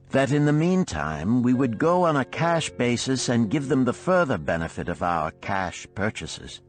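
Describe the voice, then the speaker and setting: A man narrating an audiobook of parables and letters in a measured, expressive storytelling manner. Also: mid-range voice.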